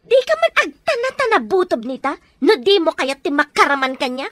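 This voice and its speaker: A bossy, cruel villainess in a radio drama, played by a woman. Her piercingly high voice swoops with scorn as she gives orders. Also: very animated, brisk, fairly bright tone, no room sound. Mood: bossy, menacing. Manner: scornful, commanding. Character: cruel, bossy female villain holding a captive. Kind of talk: Ilocano radio drama acting.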